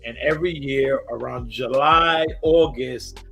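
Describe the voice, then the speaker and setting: A man hosting a casual livestream, raspy and coughing, hyping up chat with sing-song shout-outs. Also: mid-range voice, measured pace. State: coughing fits. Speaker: host. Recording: loud hip hop music behind. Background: hip hop music.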